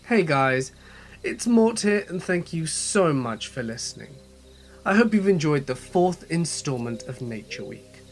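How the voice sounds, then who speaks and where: A man narrating horror stories as a channel host, reading in a measured, steady way with a voice slightly off from a cold. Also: fairly high pitch, faint music behind. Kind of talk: scripted horror story narration.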